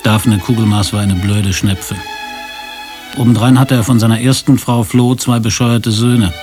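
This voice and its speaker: A man's voice: fairly low pitch, steady tone, unhurried, a little mellow. A man narrating a scripted radio drama in a dry, literary storytelling manner. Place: radio studio. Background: music.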